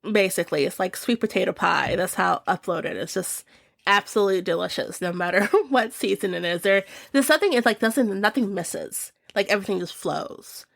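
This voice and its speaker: Chatty young woman with a gravelly voice. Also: medium pitch, expressive, fairly quick, a bit bright, dry recording, clean studio sound. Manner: chatty and gushing, many fillers. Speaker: reviewer of films and TV shows. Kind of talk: casual year-end review.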